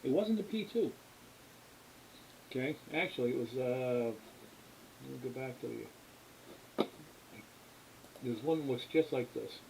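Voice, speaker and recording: An American man hosting a casual explanatory livestream, talking in a halting, rambling, folksy way. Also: medium pitch, darkish tone. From New England, US. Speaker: livestream host, radio scanner hobbyist. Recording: hissy, clearly noisy background.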